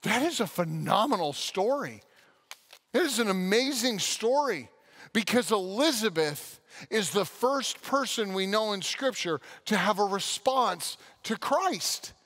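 Animated high-voiced male pastor. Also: a little slow. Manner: animated, bursts into loud acted quotes. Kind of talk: sermon. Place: church stage.

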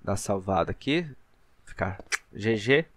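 Low-voiced Brazilian man commentating gameplay. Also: lively intonation, a little slow. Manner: colloquial, slangy asides to viewers. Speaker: gaming channel host.

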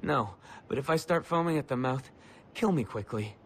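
A man's voice: mid-range voice, lively intonation, normal speed, husky. An actor playing a self-assured young male adventurer in a video game, speaking in a terse, casual, wry way. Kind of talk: video game quest dialogue.

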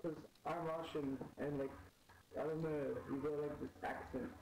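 A man's voice: fairly high pitch, steady tone, moderate pace, gravelly, darkish tone, slight background noise, rough recording. Blunt swearing gamer guy. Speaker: fellow player. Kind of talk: casual gaming chat.

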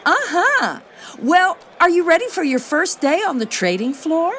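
A woman's voice: very high voice, sing-song, a little slow, a bit bright, a faint crowd, heavily acted. A woman playing a perky, unflappable roving reporter in a satirical on-the-scene radio report. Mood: perky, wry. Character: perky, unflappable female radio reporter among talking rhinos.

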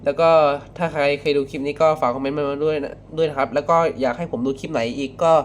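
A young man talking casually in a reaction vlog, excitable and full of exclamations. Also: on the high side, fast. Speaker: video creator reacting to a horror clip. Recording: faint background noise.

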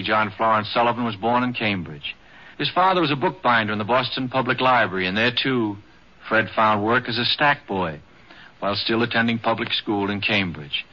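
A man reading scripted documentary narration as the narrator of a radio program. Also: medium pitch. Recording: dull 1950s radio broadcast.

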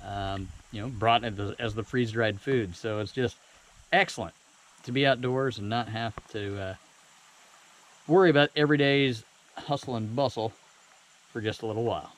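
A man's voice: medium pitch, moderate pace, long pauses, rough recording. A man in his thirties vlogging casually and enthusiastically while paddling a kayak on a creek, filmed on a boat-mounted action camera. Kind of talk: casual outdoor vlog narration. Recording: loud music over outdoor audio. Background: loud music.

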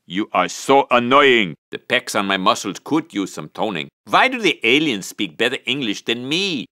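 An actor playing a vain, muscle-bound action movie star in a cartoon video game, with an Austrian-German accent and pompous, deadpan, clipped delivery. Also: male voice, lowish voice, dry recording, clean studio sound, exaggerated voice.

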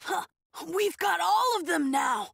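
A woman's voice: very high-pitched, expressive, unhurried, creaky, a bit bright, dry recording, shouting, clean studio sound, full character voice. A woman voicing a small, eager, innocent young boy hero in an anime game. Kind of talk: anime game dub acting.

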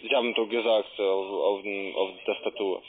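A man speaking non-native German as a call centre agent, making a halting, repetitive sales pitch over the telephone. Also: flat delivery. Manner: halting, repeats himself. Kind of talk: phone sales talk. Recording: muffled narrowband phone line.

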